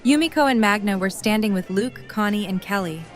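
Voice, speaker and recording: A woman's voice: mid-range voice, a little fast, soft background music. A woman narrating a fan audio drama, reading scripted story prose.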